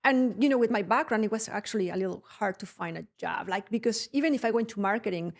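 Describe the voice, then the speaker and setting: A woman whose first language is Spanish, a guest on a podcast, telling her story in an animated way, laughing often and checking in with "right?". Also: mid-range voice, quick pace, gravelly. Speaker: guest, production company CEO. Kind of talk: casual podcast interview.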